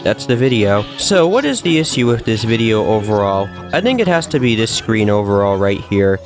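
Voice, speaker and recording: A man's voice: on the low side, big pitch swings, normal speed. A man giving casual opinion commentary in an earnest, emphatic way, often repeating points for stress. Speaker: video commentator. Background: background music.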